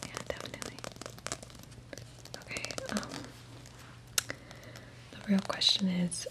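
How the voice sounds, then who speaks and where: A woman's voice: mid-range voice, little pitch movement, takes it slowly. Casting: young woman, whispered ASMR personal attention, casual reassuring delivery, close-miked in a small room. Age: young adult. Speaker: ASMR creator playing a hairdresser. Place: small room indoors at home. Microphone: close desktop condenser microphone.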